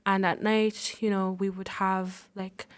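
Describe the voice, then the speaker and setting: A woman's voice: mid-range voice, level tone, unhurried, clean tone. A teenage female student from the Republic of the Congo, giving casual answers as an interview guest. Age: teens.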